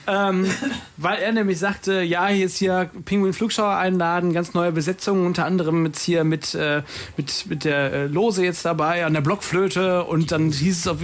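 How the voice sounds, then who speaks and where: A man's voice: high voice, fairly even intonation, normal speed. A man hosting a casual, improvised radio interview with playful banter. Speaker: radio host.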